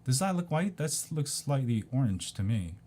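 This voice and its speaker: A man's voice: mid-range voice, sing-song, normal speed, darkish tone. A man in his forties, raised in South Korea, casually explaining things over a screen share in a rambling way, with lots of ums and restarts. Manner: rambling, many ums and restarts, repeats "go ahead and". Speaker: video creator.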